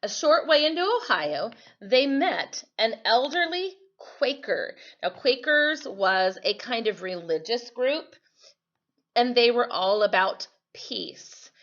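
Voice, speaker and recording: A woman's voice: a little high, big pitch swings, slow, fairly bright tone, muffled recording. An American woman giving an informal read-aloud lesson as a teacher, explaining as she goes and often correcting herself, recorded on a computer microphone. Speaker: teacher reading a storybook aloud to students.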